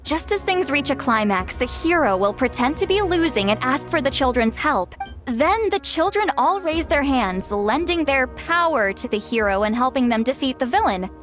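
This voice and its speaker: A woman playing a gentle, bookish young storyteller in a video game, thoughtful and articulate. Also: high voice, sing-song, moderate pace. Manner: articulate, explanatory. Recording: music playing behind.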